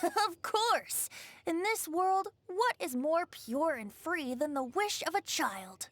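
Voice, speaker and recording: A woman's voice: very high-pitched, big pitch swings, slow pace, heavily acted. A woman playing a playful, teasing young male bard in a video game, with a theatrical, lilting delivery. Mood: amused. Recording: music behind the voice.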